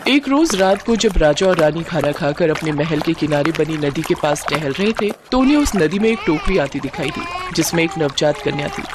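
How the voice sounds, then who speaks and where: A woman narrating a folk tale in a dramatic, expressive storytelling style. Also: low voice, moderate pace, few pauses, noisy background.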